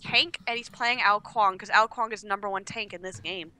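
A woman with a hoarse, sick voice, a guest on a game stream, blunt and teasing in casual banter. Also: medium pitch, moderate pace, sharp tone, slight background noise. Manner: blunt, teasing, swears freely.

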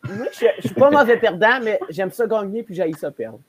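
A man's voice: sits high, lively intonation, moderate pace, husky. A young man with a Quebec French accent, chatting casually on a group video call. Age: young adult. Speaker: podcast panelist. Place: room at home, video call.

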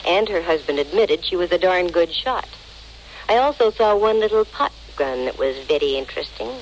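A woman's voice: lowish voice, expressive, moderate pace, lightly acted, poor audio. An actress playing a breezy female private detective with dry, slangy wisecracks, in a 1940s radio drama. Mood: wry, matter-of-fact. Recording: hissy old 1940s radio recording.

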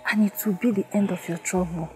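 Actress as a reassuring young Nigerian friend. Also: female voice, mid-range voice, steady tone, quick pace, music in the background, lightly acted. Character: supportive, reassuring young woman, a confiding friend. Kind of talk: film drama acting. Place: outdoors among trees.

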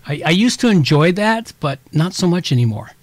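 A man's voice: on the high side, sing-song, brisk, no echo. Rambling man explaining tech casually. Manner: rambling, restarts a lot, folksy examples. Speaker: podcast host.

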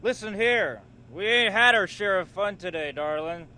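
Bullying creep at a bar, high sharp voice, leering, game cutscene. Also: male voice, animated, moderate pace, heavily acted. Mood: leering, threatening. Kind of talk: video game cutscene acting.

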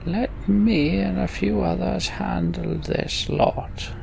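A man's voice: sits high, takes it slowly, gravelly, background noise, voice pushed up, exaggerated voice. A man voicing a stubborn, brusque old warrior in a video game. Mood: resolute. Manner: brusque, unsentimental. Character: stubborn old male warrior volunteering to fight.

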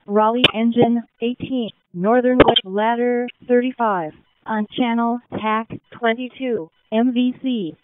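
A woman's voice: a little high, a little slow. A woman working as an emergency dispatcher, making clipped, repetitive procedural announcements over radio. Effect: radio transmission. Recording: noisy, narrow-band emergency radio with clicks.

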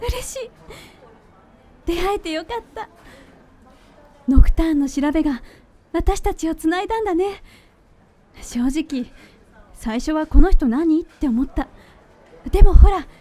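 Actress playing young woman, high voice, caring, sincere, radio drama. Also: mellow, close to an everyday voice. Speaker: voice actor. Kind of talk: Japanese radio drama acting.

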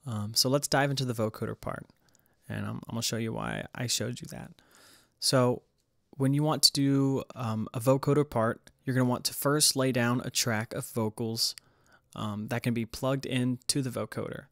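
A man hosting a casual music production tutorial, explaining in a hesitant, halting way. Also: mid-range voice, creaky, no room sound, clean studio sound. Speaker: tutorial host.

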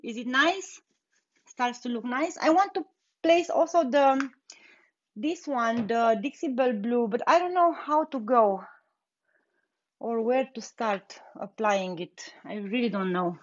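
A woman's voice: on the high side, a little slow, dull audio. A young woman from Romania with a Romanian accent, chatting unhurriedly through a live furniture painting tutorial and laughing easily. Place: indoor workshop with bare wall.

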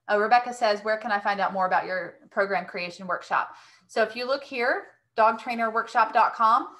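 A woman speaks as a webinar host and coach. Her voice is bright and crisp-edged, at a middling pitch. She talks casually and directly, as if answering questions over a video call. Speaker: host, dog training business coach.

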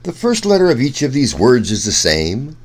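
A man reading a rhyming children's picture book aloud in an expressive, sing-song storyteller's way. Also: medium pitch, normal speed, slightly rough. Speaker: children's story reader, host.

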